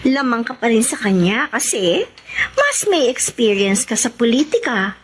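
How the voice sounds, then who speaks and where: A woman acting a soft-hearted, hesitant young escort in a Filipino radio drama, with a coaxing manner. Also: fairly high pitch, very animated, unhurried, noisy background. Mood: soothing, coaxing.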